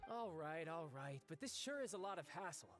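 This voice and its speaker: A man's voice: fairly high pitch, expressive, a little fast, fairly bright tone, faint music behind. A man playing a cocky, theatrical young villain in an anime game, sardonic and breezy. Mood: exasperated.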